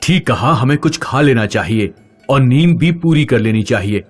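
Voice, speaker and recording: A man voicing earnest family men on a magical adventure in a children's animated story. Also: medium pitch, normal speed, soft background music, close to an everyday voice.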